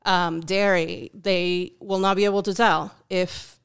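Uruguayan woman, native Spanish speaker, podcast guest; lowish, very clear voice; thoughtful, ums and restarts. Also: steady tone, a little slow. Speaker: guest, food bank volunteer. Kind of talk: podcast interview.

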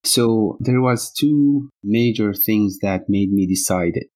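A man's voice: on the low side, slow, a little mellow. A middle-aged man from Syria, a physician guest answering interview questions in a hesitant way with many fillers. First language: Arabic.

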